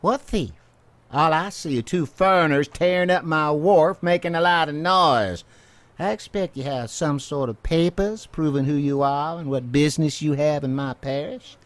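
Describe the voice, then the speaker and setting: Actor as a menacing, higher-voiced Southern lawman. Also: normal speed, no echo, lightly acted. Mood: commanding, suspicious. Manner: bullying, taunting, mock-polite. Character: menacing, smug Southern American lawman, male, middle-aged. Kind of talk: video game cutscene acting.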